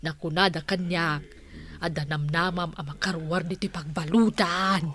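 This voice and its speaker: A woman playing a coy, lovestruck, giggly visitor in a comic radio drama, with hesitant, drawn-out delivery. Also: fairly low pitch, fairly even intonation, a bit bright, close to an everyday voice. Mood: coy, delighted.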